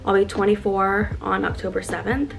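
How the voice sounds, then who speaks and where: Chatty young woman with vocal fry. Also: mid-range voice, fairly even intonation, moderate pace, rough recording. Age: 20s. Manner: chatty, filler-heavy with constant "like"; upbeat and earnest. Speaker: lifestyle vlogger. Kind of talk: casual get-ready-with-me chat. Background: background music.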